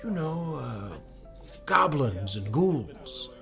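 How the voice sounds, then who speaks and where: An actor playing an easygoing, flattering network executive in an audio drama. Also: male voice, medium pitch, very slow, vocal fry, faint music behind, heavily acted. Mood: breezy. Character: smooth male network executive, breezy.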